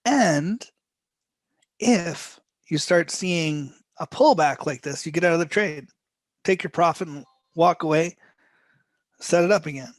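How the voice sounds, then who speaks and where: A man running a live trading lesson, his voice hoarse and fading as he goes. He speaks slowly, in a loose, rambling way full of restarts and throat clearing. The sound is close and dry, with no room around it. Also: on the high side, dry recording. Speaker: trading instructor.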